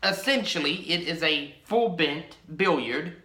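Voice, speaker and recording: A man's voice: fairly high pitch, animated, pushed and bright, roomy sound. A young man chatting casually about a pipe he shows to the camera, drawn out and full of ums, recorded in a small room at home. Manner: rambling, drawn-out, many ums. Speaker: pipe-collecting vlogger. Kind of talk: casual show-and-tell.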